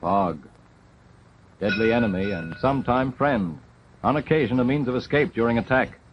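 A man's voice: mid-range voice, normal speed, slight background noise. 1940s wartime newsreel man, dull old soundtrack. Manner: dramatic, solemn delivery. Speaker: documentary narrator.

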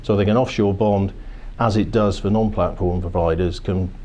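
A man in his fifties, a financial services executive, giving measured, corporate explanations in a seated office interview, often restarting his phrases. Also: deep voice, steady tone, warm tone, slight background noise. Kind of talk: business interview. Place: office, indoors.

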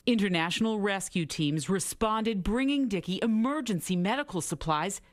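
A middle-aged woman reporting live from a TV newsroom in a steady scripted manner. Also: medium pitch, moderate pace. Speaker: news reporter. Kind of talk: TV news report.

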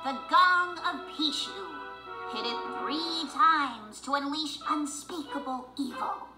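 Squeaky, excitable little duck girl. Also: takes it slowly. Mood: urgent warning. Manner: breathless, exclaiming, dramatic. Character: excitable young girl duck, eager adventurer. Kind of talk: storybook read-along character voice. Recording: roomy sound over loud music.

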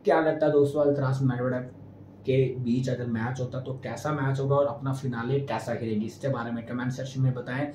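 A young man hosting a post-match tennis analysis to camera in a small room, chatty and brisk, speaking to viewers as friends. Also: medium pitch, steady tone, darkish tone. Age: young adult. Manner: gestures with hands while talking. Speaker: sports video host. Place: small indoor room with window blinds. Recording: roomy echo, faint room noise.

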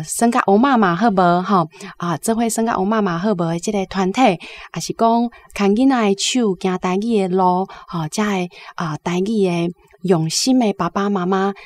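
A woman's voice: mid-range voice, a little mellow. A woman with a Taiwanese accent giving informal spoken commentary as an academic guest.

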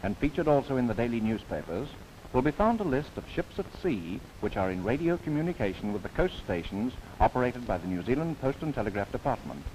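A man's voice: medium pitch, sing-song, fairly quick, darkish tone, slight background noise, low-quality recording. A man narrating a scripted 1930s government documentary in formal, theatrical phrasing with wry asides, on an old film soundtrack.

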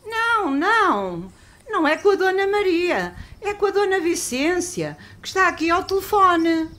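Woman acting a very high-pitched naive shop girl. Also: very animated, measured pace, a bit bright, full character voice. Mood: flustered, protesting. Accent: European Portuguese. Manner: innocent, protesting, comically literal. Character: flustered, naive young female grocery assistant. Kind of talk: old-fashioned radio soap acting.

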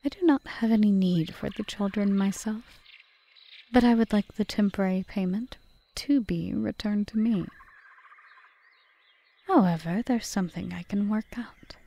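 Woman acting a soft, mellow forest witch. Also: medium pitch, gravelly. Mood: calculating. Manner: measured, formal, often whispery delivery. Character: female yandere forest witch, calm, calculating, solemn. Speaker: audio roleplay performer. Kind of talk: ASMR roleplay monologue.

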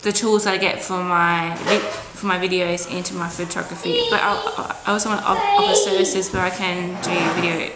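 A woman's voice: lowish voice, expressive, normal speed, few pauses, roomy sound. A young Australian woman in her twenties chatting casually to camera at home, hesitant and stop-start with a slight stutter. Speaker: vlogger. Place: inside a house. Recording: clear background noise on home camera audio.

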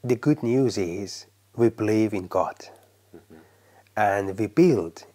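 A man from Iran speaks slowly and carefully in a lowish voice. He pauses often and lets his phrases trail off to a near whisper. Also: animated, warm tone. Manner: slow, halting, drops to a near whisper at phrase ends. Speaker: pastor. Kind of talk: testimony interview.

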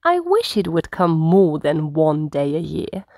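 Woman acting an excitable little bear cub, swooping voice. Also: low-pitched, measured pace, no room sound, clean studio sound, voice pushed down, exaggerated voice. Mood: excited. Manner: exclamatory, dramatic, breaking into whispers and squeals. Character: excitable young bear cub, birthday child, worried and playful. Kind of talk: children's storybook read-aloud.

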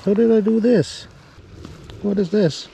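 A man in his thirties hosting a fishing vlog outdoors on a sea shore, talking in a relaxed, chatty, self-mocking way into a lapel mic. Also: high-pitched, measured pace, gravelly, slight background noise. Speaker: fishing show host.

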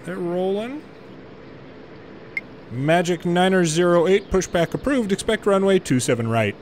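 A man doing gameplay commentary, mixing measured air traffic control phraseology with muttered casual asides. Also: high voice, expressive. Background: game airport ambience.

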